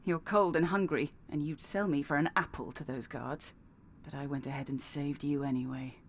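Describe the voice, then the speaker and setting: A woman's voice: low voice, steady tone, normal speed, a little hiss or hum. An actress playing a stern, sardonic female assassin in a video game, with a dry, threatening delivery. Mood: cold, curt.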